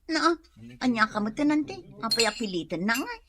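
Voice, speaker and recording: A woman playing a sharp-tongued unmarried woman. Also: fairly high pitch, lively intonation, normal speed, crowd noise, lightly acted. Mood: annoyed. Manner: sharp, exasperated retorts. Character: unmarried middle-aged woman, touchy about age. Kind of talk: Ilocano radio drama comedy acting.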